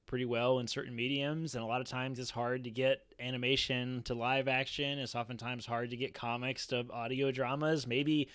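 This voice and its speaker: A man's voice: medium pitch, level tone, moderate pace, hardly any pauses, a bit bright, dry recording. A man in his thirties chatting casually as a podcast panelist, speaking into a close microphone. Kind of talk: casual chat. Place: small room at home. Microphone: close boom microphone.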